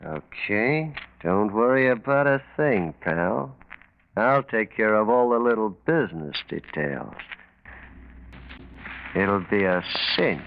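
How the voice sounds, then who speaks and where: A small-time racketeer in a 1940s radio crime drama. He is sly and sure of himself, talking in glib street slang with a lively, swinging pitch. The sound is dull and lo-fi. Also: slow pace, slight background noise. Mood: breezy, confident. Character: slick small-time crook, a sly, cocky male racketeer. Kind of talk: 1940s radio mystery acting. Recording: muffled lo-fi 1940s radio recording.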